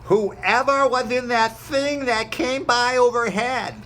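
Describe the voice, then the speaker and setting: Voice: medium pitch, slow pace, sharp tone, slight background noise. A performer playing a serious, earnest fourteen-year-old boy in an amateur read-aloud of a television script. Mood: grave, insistent.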